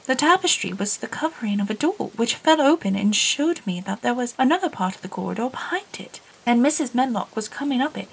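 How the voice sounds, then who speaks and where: A woman voices a curious, lonely girl narrating an audio drama in a husky, breathy hush. A gravelly rasp sits low in her voice. She keeps it close and confiding, like a secret. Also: animated, fairly quick, few pauses, exaggerated voice, rough recording. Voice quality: breathy, hushed storytelling. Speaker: narrator and lead character.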